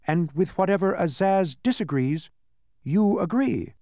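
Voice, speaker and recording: An earnest, thoughtful storybook boy, voiced by a man. The pitch is raised well up and moves in lively swings. He sounds politely persistent, in a dry recording. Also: unhurried, dry recording. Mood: careful, reasoning.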